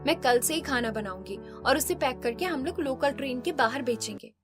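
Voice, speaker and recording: A woman's voice: high voice, animated, normal speed, over music. A woman playing a kind, hopeful young daughter-in-law who speaks in an earnest, reassuring way in a cartoon.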